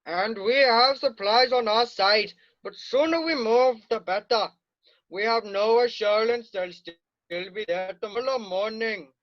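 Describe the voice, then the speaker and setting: A man's voice: high voice, a little slow, no room sound, dull audio. A man reading a precise, dutiful army sergeant major in an amateur screenplay read-through, briefing in a matter-of-fact way. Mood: matter-of-fact, urgent.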